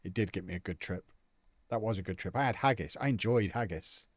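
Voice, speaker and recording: A man's voice: on the low side, fairly quick, no echo. A young man chatting casually and jokily on a live stream, answering viewers' comments as he plays a football management game.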